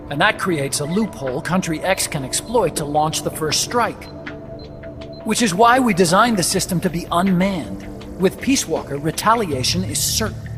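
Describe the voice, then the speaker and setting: An actor playing an eager, talkative weapons scientist in a game cutscene, explaining things in an emphatic, lecturing way. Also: male voice, sits high. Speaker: weapons engineer character. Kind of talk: dramatic game cutscene dialogue. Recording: long reverberant hall, music underneath. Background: prominent background music.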